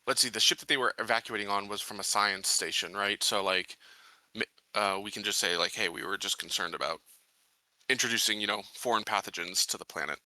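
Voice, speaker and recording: Very bright-voiced man, halting casual talk. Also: on the low side, no echo, rough recording. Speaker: player in a tabletop roleplaying game. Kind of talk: casual chat.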